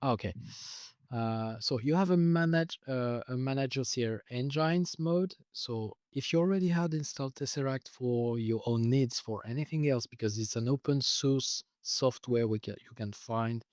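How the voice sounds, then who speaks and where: A man with a delivery talks through software he built. He pauses often with filler sounds and restarts his sentences. His middle-pitched voice, a little worn, sits close and dry with no room sound. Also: dry recording. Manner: hesitant, many filler uhs, restarts. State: losing his voice. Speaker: software developer explaining his tool. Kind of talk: casual screen-recorded walkthrough.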